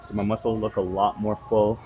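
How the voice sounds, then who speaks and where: A man's voice: lowish voice, brisk, poor audio. A man in his thirties, a fitness vlogger, talking casually in a gym with plenty of fillers. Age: 30s. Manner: relaxed, rambling, with fillers. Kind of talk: casual vlog chat. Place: gym, indoors.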